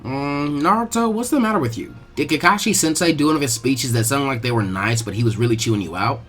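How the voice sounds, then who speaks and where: A man's voice: mid-range voice, quick pace, fairly bright tone, soft background music, lightly acted. A man voicing a friendly, concerned teenage boy ninja in a fan-fiction reading.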